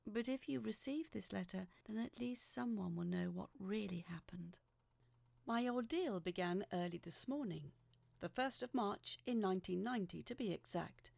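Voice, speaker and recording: Creaky-voiced woman narrating a dramatic story. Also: medium pitch, moderate pace, a little mellow, no room sound. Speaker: storyteller reading a letter aloud.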